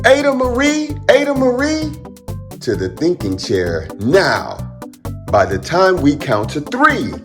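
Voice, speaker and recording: Man putting on an exasperated, high-pitched scolding parent. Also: huge pitch swings, slow, music in the background, exaggerated voice. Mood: frustrated, angry. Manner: stern, emphatic commands. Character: exasperated, scolding parents of a curious little girl.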